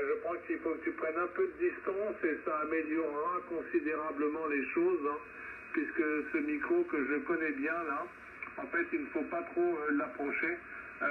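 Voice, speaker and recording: A man talking as an amateur radio operator over a two-way transmission, explaining and advising. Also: fairly high pitch. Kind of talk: ham radio round-table chat. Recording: noisy, distant, tinny radio link.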